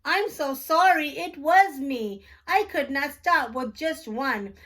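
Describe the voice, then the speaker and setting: Woman as a high-voiced, sorry storybook toad. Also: slow pace, fairly bright tone. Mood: remorseful. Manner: apologetic confessing.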